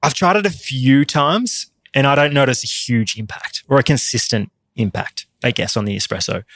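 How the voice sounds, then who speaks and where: A man's voice: mid-range voice, moderate pace, a bit bright, no echo. An Australian man chatting casually as a podcast guest, with a modest, slightly hesitant manner. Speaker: podcast guest, competition barista.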